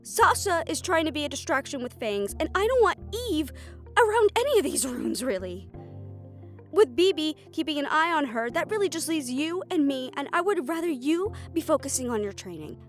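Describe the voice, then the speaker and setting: Woman acting a high-pitched, frustrated siren sorceress. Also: very animated, moderate pace, faint music behind. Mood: stressed, worried. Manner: emotional venting, sarcastic and sweary, with heavy sighs. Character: frustrated, overworked siren-banshee sorceress, sharp-tongued but caring. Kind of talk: dramatic audio roleplay addressed to the listener.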